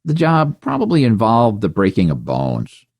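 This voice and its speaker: A man's voice: on the low side, huge pitch swings, moderate pace, a little mellow, dry recording. A man narrating an audiobook, reading scripted first-person fiction with wry humour.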